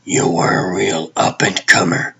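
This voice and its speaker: Guarded, commanding superhero boss for a comic dub, male actor: low, husky, slow and terse. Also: little pitch movement, fairly bright tone, lo-fi sound, full character voice. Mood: serious, secretive. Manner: terse, deliberate.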